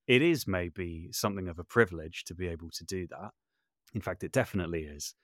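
A man with a fairly low voice talks in a relaxed interview setting. He is thoughtful and a little hesitant, circling back to refine his wording. The sound is very dry and close. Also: a little fast, dry recording. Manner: thoughtful, hesitant, self-correcting with repeated words. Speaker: podcast guest, composer and voice artist. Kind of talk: reflective conversational interview.

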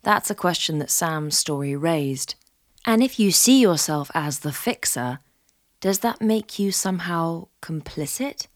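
A woman with a low-pitched voice narrates as a podcast host. Her delivery is measured and literary, moving between scripted commentary and verse. The sound is close and dry. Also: animated, no echo. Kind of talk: scripted narration with poetry reading.

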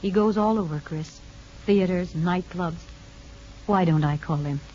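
An actress playing a composed, practical woman counselor who narrates a 1950s radio drama in a measured, dry manner. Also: lowish voice, dark tone, slight background noise, lightly acted. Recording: dull old radio recording with music.

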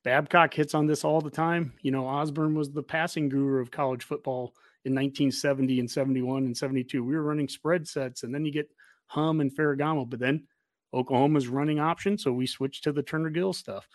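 Male sports podcast host, American, slightly high voice, emphatic and opinionated, close dry sound. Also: fairly even intonation, fairly quick, dry recording. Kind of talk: casual sports discussion.

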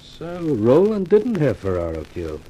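An actor playing a world-weary newspaper columnist in a 1950s radio mystery, with dry, sardonic, hardboiled delivery. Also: male voice, fairly high pitch, normal speed, a little hiss or hum, rough recording. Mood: weary, resigned. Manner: deadpan, sardonic wisecracking. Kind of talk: 1950s radio noir acting.

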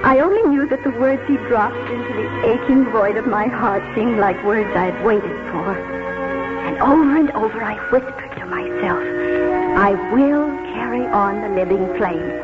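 An actress playing an earnest, idealistic young schoolteacher in 1940s radio drama, with a heartfelt, theatrical delivery. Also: female voice, on the high side, unhurried, close to an everyday voice. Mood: solemn, exalted. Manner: heartfelt, theatrical, hesitant when moved. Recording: dull vintage radio broadcast, loud music.

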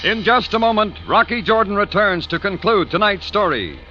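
A man's voice: sits high, lively intonation, moderate pace, over loud music. A male announcer reading a hearty, salesy ad script. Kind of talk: scripted ad read. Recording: dull, dry old radio recording.

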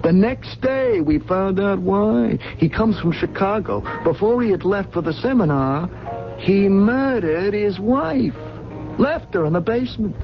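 An actor playing a gossipy, wry hotel clerk in a 1970s radio drama, telling things in a halting, drawn-out way. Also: male voice, high voice, animated, gravelly, heavily acted. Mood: gossipy, excited. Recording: dull 1970s radio broadcast, music behind.

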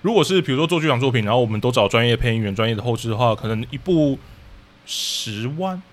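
A man's voice: mid-range voice, expressive, fast. A man with a Taiwanese Mandarin accent, answering casually as a podcast guest and explaining things as he thinks aloud. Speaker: guest, radio drama troupe leader.

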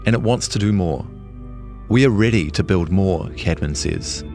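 A man's voice: deep voice, normal speed, darkish tone, soft background music. A man reading a scripted longform news feature as a journalist narrator.

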